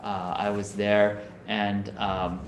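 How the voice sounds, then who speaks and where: A man's voice: lowish voice, completely flat delivery, takes it slowly, reverberant, a little hiss or hum. A man from Minnesota, a Buddhist minister, giving a conversational Dharma talk over a video call and often checking in with listeners. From Minnesota, USA. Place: home room on a video call.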